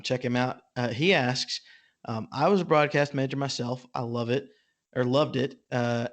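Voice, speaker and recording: A man hosting a casual podcast interview, speaking in a halting, informal way. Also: medium pitch. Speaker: podcast host.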